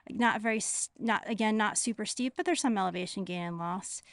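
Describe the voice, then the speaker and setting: A woman's voice: mid-range voice, brisk, no room sound, clean studio sound. A middle-aged American woman chatting casually and informally to camera as a hiking vlogger, rambling a little as she goes. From United States.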